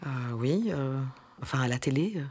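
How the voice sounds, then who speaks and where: An actress playing a naive, timid employee in a satirical radio drama, speaking haltingly and deferentially, as if asking permission. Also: female voice, a bass voice, lively intonation, darkish tone, husky, full character voice. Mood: hesitant, uneasy. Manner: hesitant, halting, deferential, questioning. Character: timid, naive female employee being coached.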